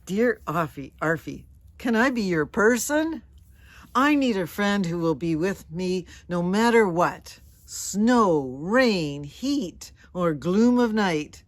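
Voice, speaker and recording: A friendly letter carrier from a picture book, voiced by a woman reader. The delivery is warm and emphatic, with slow, deliberate phrasing. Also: on the low side, expressive, no echo. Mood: tender invitation. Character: friendly letter carrier seeking a loyal companion. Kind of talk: storybook character voice, letter read aloud.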